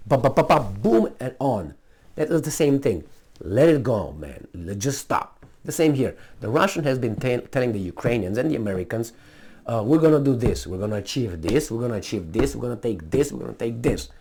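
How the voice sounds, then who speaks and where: A man's voice: mid-range voice, big pitch swings, a little fast, a little mellow, slightly rough. A man with a Romanian accent gives casual, unscripted political commentary in a blunt, emphatic, rambling way full of asides and repetition.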